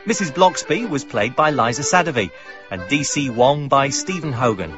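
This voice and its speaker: A man's voice: mid-range voice, lively intonation, moderate pace. A man reading a scripted broadcast announcement of drama credits as a radio announcer. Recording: dull radio recording under music. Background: violin music.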